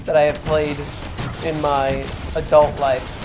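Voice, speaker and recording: A man in his twenties with a high tenor voice, chatting casually and thinking aloud while streaming a game. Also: takes it slowly, music in the background, poor audio. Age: 20s. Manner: relaxed, rambling, thinks aloud. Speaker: live streamer. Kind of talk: casual chat while gaming. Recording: clear background noise.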